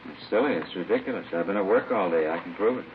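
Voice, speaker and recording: An American actor playing an indignant, evasive accused husband in a 1950s radio crime drama. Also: male voice, sits low, animated, fast talker. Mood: scornful. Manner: defensive, dismissive denials. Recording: tinny, hissy 1950s radio recording.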